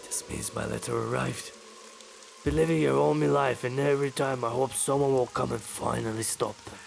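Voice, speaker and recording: A man's voice: medium pitch, fairly even intonation, normal speed, creaky, a little hiss or hum. A man voicing a wary old villager in a fantasy game, ominous and secretive. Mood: weary hope.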